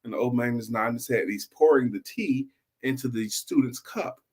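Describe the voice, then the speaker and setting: A man hosting a story show, telling a tale in a casual, animated, improvised way and repeating phrases for emphasis. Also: medium pitch, normal speed, slightly rough.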